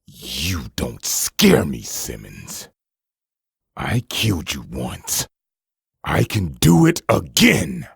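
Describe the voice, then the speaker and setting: A hardened killer, played by a man in a comic dub. He speaks slowly in a gravelly, badly rasped voice, full of scorn and defiance, sneering at whoever faces him. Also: very deep voice, huge pitch swings, a bit bright, no echo, studio quality, heavily acted. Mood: menacing. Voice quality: gravelly, raspy. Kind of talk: comic dub dramatic acting.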